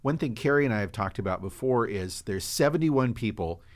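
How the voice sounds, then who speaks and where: A man co-hosting a podcast in casual, jokey discussion, quick to laugh. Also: medium pitch, animated. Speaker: podcast co-host.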